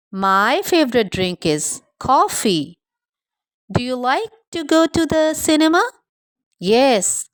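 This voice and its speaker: A woman English teacher reading a scripted practice dialogue in a deliberate, carefully enunciated way. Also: a little high, no echo.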